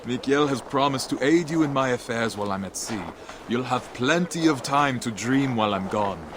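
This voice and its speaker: An actor playing a proud, earnest Viking trader and husband in a video game cutscene. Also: male voice, medium pitch, lively intonation, normal speed, noisy background, natural voice. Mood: light, affectionate. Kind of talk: dramatic game cutscene acting. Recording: music behind the voice.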